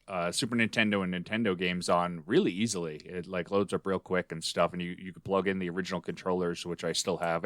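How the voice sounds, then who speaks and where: A middle-aged man co-hosting a podcast, chatting casually in a halting, rambling way. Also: low-pitched, a bit bright, dry recording. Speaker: podcast co-host.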